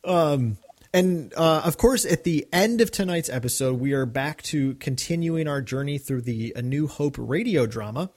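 A man's voice: fairly high pitch, expressive, moderate pace. A man co-hosting a podcast in casual chat, earnest and chatty with many fillers. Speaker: podcast co-host.

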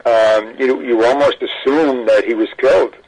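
A mature man speaking as an interview guest, in a halting, reflective, explanatory way. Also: medium pitch, a little mellow, poor audio. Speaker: audio archivist guest. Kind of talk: interview recollection.

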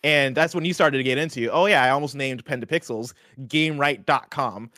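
Fast-talking joking male co-host. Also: medium pitch, no echo, studio quality. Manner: quick, joking, animated banter. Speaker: podcast co-host. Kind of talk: casual chat.

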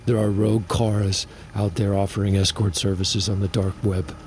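A man's voice: on the low side, flat delivery, normal speed, noisy background, natural voice. Bitter, profane engineer acted by a man. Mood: despairing, worried. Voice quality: emphatic, sarcastic. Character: bitter, profane, guilt-ridden male head engineer. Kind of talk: naturalistic audio drama acting.

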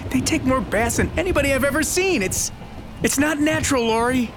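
Cruel, sarcastic, volatile-pitched husband. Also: male voice, lively intonation, brisk, over music. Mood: bitter, sarcastic. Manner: sarcastic, bullying. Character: cruel, bitter, menacing husband and father. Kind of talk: audio drama acting.

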